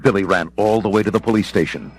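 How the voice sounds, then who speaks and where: A man's voice: on the low side, fast, very noisy. A man reading scripted, dramatic storybook narration. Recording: music behind the voice.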